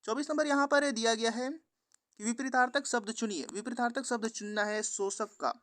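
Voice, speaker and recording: A man's voice: sits high, a bit bright, dry recording. A man teaching an exam-prep lesson, reading out quiz questions and answers in a repetitive, instructional way.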